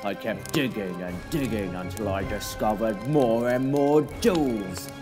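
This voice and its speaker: A man's voice: fairly low pitch, measured pace, over music, lightly acted. A man voicing a greedy, snarling fire-breathing fox villain with theatrical menace in a children's audio adventure. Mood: gloating threat.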